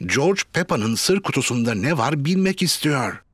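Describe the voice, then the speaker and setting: A woman's voice: very deep voice, animated, normal speed, no echo, clean studio sound. A woman narrating a children's cartoon in a plain storybook way. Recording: clear music behind.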